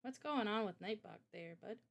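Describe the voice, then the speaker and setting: A woman's voice: mid-range voice, normal speed, vocal fry. A woman guesting on a livestream as an illustrator and game developer, talking casually into her mic.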